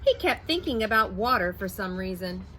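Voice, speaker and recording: A woman teacher reading a picture book aloud to her first graders, in a slow, expressive storytelling voice. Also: medium pitch, slight background noise, rough recording.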